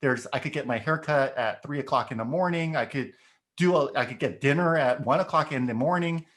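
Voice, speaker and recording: A man's voice: medium pitch, fairly quick, fairly bright tone. A middle-aged man whose first language is Korean, explaining ideas in a casual discussion and checking in with "right?" as he goes. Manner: thoughtful, explains with examples, frequent "right?". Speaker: education podcast guest.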